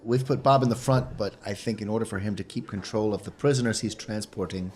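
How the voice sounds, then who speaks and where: Quick talking guy with a low voice, playing a tabletop rpg, casually planning moves. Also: a little mellow, slight background noise. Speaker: player in a tabletop role-playing game.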